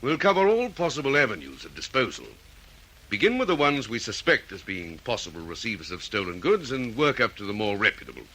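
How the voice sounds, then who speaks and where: A man playing a senior, dogged police detective, brisk and authoritative in an old radio drama style. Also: lowish voice, big pitch swings, bright, forceful tone, a little hiss or hum, exaggerated voice, rough recording. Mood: businesslike, determined.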